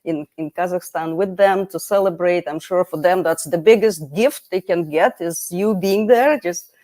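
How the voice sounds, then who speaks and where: A woman's voice: lowish voice, moderate pace, warm tone. A woman hosting an online interview over a video call, talking in a friendly, chatty way with plenty of fillers and restarts. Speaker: host.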